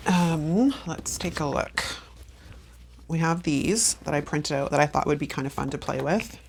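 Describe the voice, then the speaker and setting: Low, gravelly woman crafting and chatting. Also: normal speed, slight background noise. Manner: chatty, self-talking while crafting, laughs often. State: sniffly with allergies. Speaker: planner vlogger. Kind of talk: casual plan-with-me chat.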